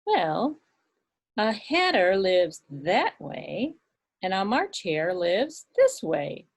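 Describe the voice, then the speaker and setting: A woman voicing a mad, grinning cat who speaks in a slow drawl in a puppet show. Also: medium pitch, wildly swooping pitch, creaky, heavily acted. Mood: sly.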